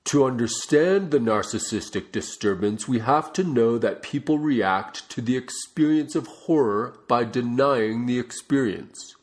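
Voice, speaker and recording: A man reading a non-fiction book aloud as a narrator, with measured, deliberate phrasing. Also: mid-range voice, expressive. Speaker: audiobook reader.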